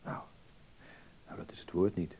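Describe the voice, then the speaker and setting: An actor playing a gentle, easily flustered young man in a radio drama, halting and repeating himself. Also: close to an everyday voice. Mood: soft, hesitant. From Netherlands.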